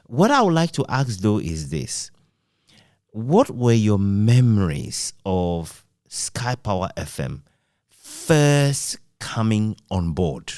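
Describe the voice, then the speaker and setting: Male interviewer, lowish pitch with lively intonation, measured and reflective phrasing. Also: no echo, studio quality. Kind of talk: reflective radio-history interview.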